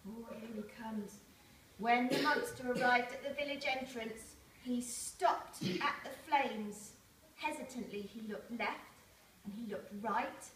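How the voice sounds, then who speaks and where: A woman with a high voice tells a story to young children. She is expressive and speaks to her listeners directly. The recording is echoey, picked up at some distance with faint noise behind. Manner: expressive, addresses listeners with questions. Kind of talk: read-aloud storytelling with asides to children. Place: primary school room, reading aloud to children.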